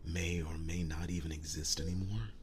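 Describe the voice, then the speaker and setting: A man playing a protective, world-weary young werewolf boyfriend in an intimate ASMR roleplay, speaking in a breathy, halting hush. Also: fairly even intonation, background noise, natural voice. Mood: weary, reluctant. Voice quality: breathy, hushed, very low voice. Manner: halting, slow, soft-spoken with long pauses.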